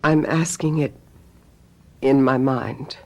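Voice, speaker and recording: An actress playing an anxious, earnest young woman seeking occult guidance, with hushed drama, in a radio play. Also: rumbling low, fairly even intonation, takes it slowly, a little hiss or hum.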